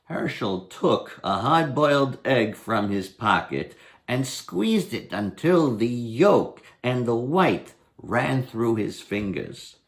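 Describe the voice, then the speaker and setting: A man's voice: mid-range voice, very animated, takes it slowly, gravelly. A man in his sixties reading a story aloud with dramatic storytelling delivery. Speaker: storybook reader. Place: indoors, home dining room.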